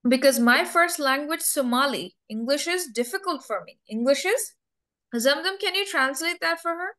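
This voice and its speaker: High bright-voiced female English teacher. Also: animated, normal speed. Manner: patient, coaxing, repeats words many times. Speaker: English teacher running a mock speaking test. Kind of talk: slow, repetitive test questioning.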